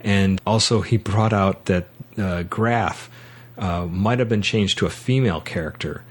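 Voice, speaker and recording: A man hosting a podcast, chatting casually about films and books in a halting way, often correcting himself as he goes. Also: sits low, breathy edge. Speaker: podcast host. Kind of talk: casual film and book discussion.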